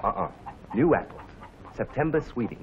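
A man's voice: medium pitch, expressive, normal speed, close to an everyday voice. An actor playing a folksy, dependable delivery man, laconic and dryly good-natured, in old Hollywood style. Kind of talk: old Hollywood film acting. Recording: muffled, noisy old film soundtrack.

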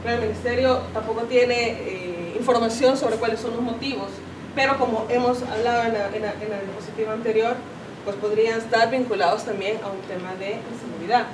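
A woman in her thirties with a Salvadoran Spanish accent presents data at a seminar, pausing on fillers and correcting herself as she goes. Her voice sits fairly high. The sound comes from a camera far across an echoing conference room, with steady background noise. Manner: explanatory, self-correcting, many fillers. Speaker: conference speaker. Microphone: far camera, no mic seen. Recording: roomy, distant camera audio with background noise.

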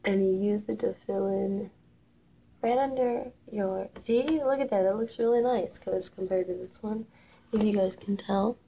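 A woman's voice: mid-range voice, measured pace, vocal fry, mellow, slight background noise, poor audio. A young woman in her twenties chatting casually through a makeup tutorial, sniffly from a cold, recorded on a webcam in a small room. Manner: chatty, offhand, self-deprecating. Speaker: makeup vlogger. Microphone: built-in webcam mic at arm's length.

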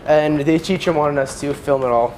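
A man's voice: fairly high pitch, normal speed, darkish tone, slight background noise, low-quality recording. A young man filming a casual prank vlog on a handheld phone, joking and rambling with restarts. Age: young adult. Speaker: vlogger. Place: furniture store, then gym.

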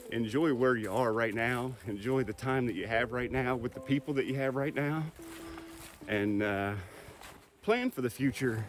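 A man in his thirties co-hosting a casual hiking vlog, upbeat and teasing, talking outdoors in winter woods into a handheld phone. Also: mid-range voice. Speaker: vlog co-host. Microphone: handheld phone camera.

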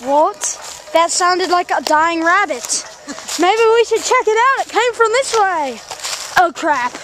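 A woman's voice: very high-pitched, expressive, a little slow. A young woman bantering in a dramatic, bossy way through an improvised mock nature documentary, recorded outdoors on noisy camera audio. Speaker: amateur video host. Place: outdoors in woods.

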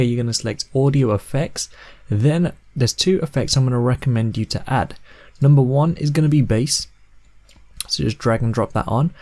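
Man in his twenties, mid-pitched voice, casual tutorial host, step-by-step instructional narration. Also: a little mellow, dry recording. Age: 20s. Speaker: host of video editing tutorials.

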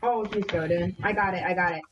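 A woman streaming a game with friends, chatting casually, yelping and shouting at the action and using mild minced oaths instead of swears. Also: lowish voice, brisk, muffled recording. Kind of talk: casual gaming chat. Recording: home stream mic with noise and keyboard clicks.

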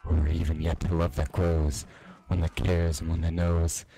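A man reading a romantic poem aloud in a slow, theatrical, dramatic way. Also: low-pitched, completely flat delivery, warm tone. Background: music.

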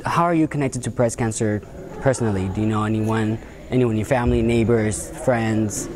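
A young man working as a student interviewer, asking upbeat, set questions after a game in a high school gym. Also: fairly even intonation, a little fast. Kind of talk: postgame interviews. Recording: noisy gym with crowd.

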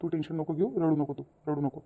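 A woman voicing a calming middle-aged village father in a cartoon story, consoling and repetitive. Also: deep voice, quick pace, phone-quality sound. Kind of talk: amateur cartoon story dialogue.

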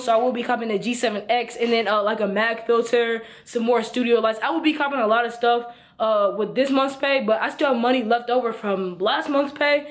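A teenage boy with an American accent, chatting casually and slangily to the camera as a vlogger. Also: extremely high pitch. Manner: slangy, informal. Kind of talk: casual vlog chat to camera. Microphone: camera at arm's length.

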